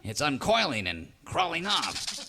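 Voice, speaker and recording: A man's voice: fairly high pitch, noisy background, exaggerated voice. A man playing a dazed, pathetic arch-villain, melodramatic and wailing, in a radio serial parody. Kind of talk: melodramatic old-time radio parody acting.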